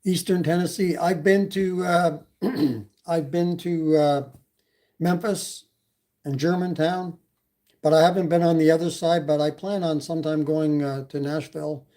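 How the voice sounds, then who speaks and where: A man's voice: a little high, fairly even intonation, measured pace, mellow. Rambling Canadian man on a live stream. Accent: slight Canadian. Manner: rambling, many fillers, reads chat. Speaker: e-bike channel host. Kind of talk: casual live stream chat.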